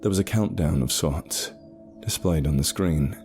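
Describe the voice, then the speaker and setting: A man reading a first-person horror story as a scripted narration, in a measured, suspenseful manner. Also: a bass voice, a little mellow. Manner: measured, suspenseful, short pauses. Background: eerie background music.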